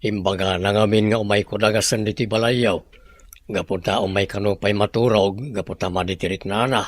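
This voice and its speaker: A man's voice: lowish voice, steady tone, a little fast, close to an everyday voice. A man acting an earnest young man, a worried friend, in an Ilocano radio-style drama. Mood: concerned.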